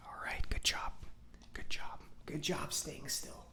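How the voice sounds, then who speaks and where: A man playing a gentle, reassuring robot mechanic in a soft-spoken ASMR roleplay, talking in an unhurried, slightly hesitant way. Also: a little high, steady tone, creaky, reverberant, full character voice. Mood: gentle, encouraging.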